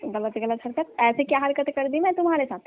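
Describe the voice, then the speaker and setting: A woman's voice: high-pitched, animated, brisk. A woman playing a flirtatious, pushy village aunt who coaxes and teases a younger repairman in a comic phone skit. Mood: teasing. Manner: coaxing, teasing, colloquial. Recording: tinny, dry phone-call recording.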